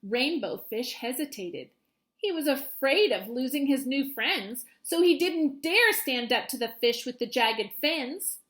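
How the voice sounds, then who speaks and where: A woman with a high voice reads a picture book aloud as a teacher would for students. Her intonation is very lively, rising and falling widely as she tells the story. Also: measured pace. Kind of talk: expressive children's storybook read-aloud.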